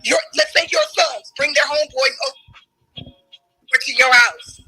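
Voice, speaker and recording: A woman's voice: high voice, a little slow, faint music behind, rough recording. A woman arguing in a heated debate, emphatic and challenging, and losing her voice. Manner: forceful, emphatic, challenging questions.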